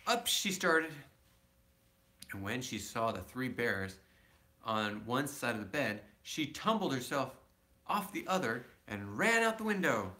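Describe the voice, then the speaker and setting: A man's voice: medium pitch, very animated, measured pace, bright, forceful tone. A man reading a children's storybook aloud as a father, adding simple, casual asides to his child.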